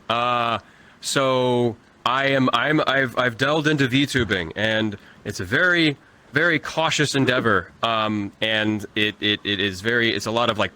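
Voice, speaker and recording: Man, voice actor guest, bright forceful tone, quick jokey delivery. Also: medium pitch. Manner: quick, jokey, self-deprecating. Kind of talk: casual panel chat.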